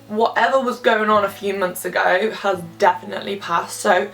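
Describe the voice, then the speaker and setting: A woman's voice: mid-range voice, steady tone, normal speed, vocal fry, a bit bright, far from the mic, soft background music, rough recording. A young woman of about twenty, slightly stuffy from a cold, chatting candidly to camera with lots of filler words while she does her makeup. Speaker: vlogger. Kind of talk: casual chat to camera. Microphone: camera some distance away.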